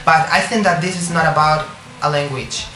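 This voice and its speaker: A young Spanish man with a Spanish accent, a singer answering interview questions in English, halting and searching for words with many restarts. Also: a little high, fairly even intonation, sharp tone. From Spain. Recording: echoey room with music behind.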